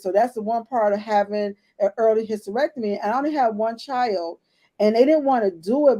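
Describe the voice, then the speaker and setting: A woman's voice: fairly high pitch, mellow. A woman in her late fifties candidly telling her own health story in a casual chat, speaking straight to the women listening. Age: 50s. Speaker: podcast co-host.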